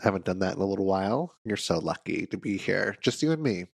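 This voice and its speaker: Male therapist host, low nasal voice, sick and congested, dry close mic, playful. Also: expressive, fast talker, creaky, slightly rough, dry recording. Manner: playful, self-mocking, chatty. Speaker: counselor and podcast host. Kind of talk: solo podcast monologue.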